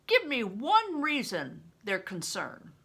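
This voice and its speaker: An older woman voicing a big, blustering, roughly kind male travelling performer, emphatic, gruff and teasing, in a storybook reading. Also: on the high side, wildly swooping pitch, slow pace, a bit bright, exaggerated voice. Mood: teasing, insistent.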